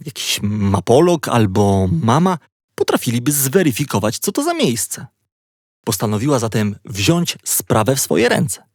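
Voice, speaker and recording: A man narrating a children's story in an expressive, playful storytelling manner. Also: mid-range voice, dry recording, clean studio sound.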